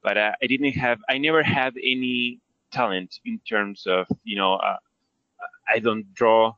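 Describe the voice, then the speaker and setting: A man's voice: medium pitch, steady tone, unhurried, sharp tone, dry recording, dull audio. A man from Argentina with an Argentine accent, a native Spanish speaker, talking casually as an interview guest, hesitant, self-effacing and often searching for words. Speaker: interview guest, 3D character artist. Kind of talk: casual conversational storytelling.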